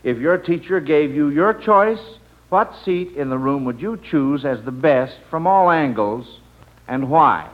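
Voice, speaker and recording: A man's voice: on the high side, expressive, unhurried. A man hosting a children's quiz show on old radio, folksy and a little hesitant as he talks. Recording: dull vintage radio broadcast.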